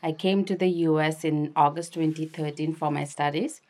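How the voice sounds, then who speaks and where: A woman's voice: sits low, level tone, normal speed, darkish tone. A young woman from Nepal with a Nepali accent, telling personal stories conversationally as an interview guest. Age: young adult. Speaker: interview guest, activist and filmmaker.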